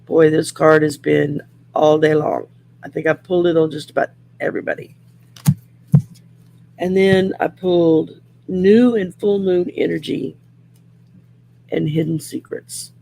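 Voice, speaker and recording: A middle-aged woman with a growly, gravelly voice from throat surgery, chatting casually on a livestream while pulling tarot cards, rambling and restarting often. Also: low voice, fairly even intonation, measured pace, long pauses, warm tone, a little hiss or hum, low-quality recording. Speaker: host and tarot card reader. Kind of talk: casual livestream chat with card reading.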